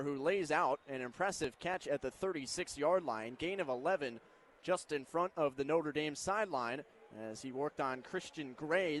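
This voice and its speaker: High-voiced American man calling football play-by-play. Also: a bit bright. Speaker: play-by-play announcer. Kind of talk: live football radio play-by-play commentary. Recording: live stadium broadcast with background noise.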